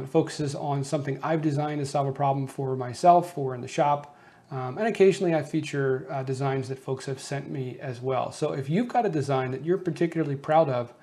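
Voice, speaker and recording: A man's voice: mid-range voice, mellow. A man hosting a hobby making show, explaining things in a casual, conversational, unscripted way. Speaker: host of a 3D printing show. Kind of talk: casual hands-on explainer of a homemade design.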